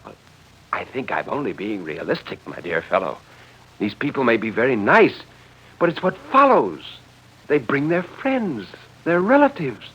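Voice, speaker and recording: On a muffled old radio recording, a man plays a genteel, condescending gentleman. He talks in a measured, patronising way, his pitch sliding widely in forties drama style. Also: big pitch swings, slightly rough, full character voice. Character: smooth, condescending gentleman defending exclusion of outsiders. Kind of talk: 1940s radio drama acting.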